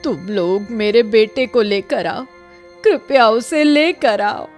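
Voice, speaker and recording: A woman's voice: fairly high pitch, slow pace, darkish tone. A woman voicing an anxious, pleading old mother in a cartoon story. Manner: pleading, plaintive. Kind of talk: animated Hindi cartoon story acting. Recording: music under the voice.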